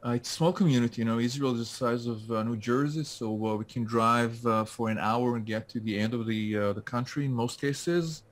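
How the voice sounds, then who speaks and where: A man's voice: medium pitch, fairly quick, few pauses. A man, a guest on a call-in interview, speaking with many hesitations and restarts. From France. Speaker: guest, LinkedIn specialist. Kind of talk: casual interview over a call.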